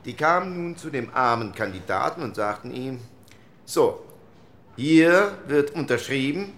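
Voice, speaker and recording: An actor playing a wry parliamentarian with an anecdotal, rhetorical way of speaking in a dramatized debate. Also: male voice, medium pitch, expressive, a little slow, a bit bright. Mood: wry, exasperated. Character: wry, anecdotal male parliamentarian recalling election campaigns.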